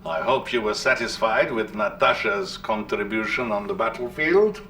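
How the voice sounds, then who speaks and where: Soviet premier, polite and grand, sharp tone, faint noise behind. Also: male voice, lowish voice, normal speed. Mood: gracious. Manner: formal, gracious. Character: courteous male Soviet premier, grandly diplomatic. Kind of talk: video game cutscene acting. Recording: slightly distant, faint background noise.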